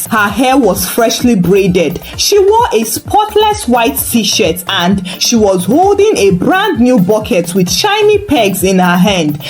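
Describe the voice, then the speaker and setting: A woman narrating a scripted folktale in an expressive, dramatic storytelling manner. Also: medium pitch. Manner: dramatic, animated delivery.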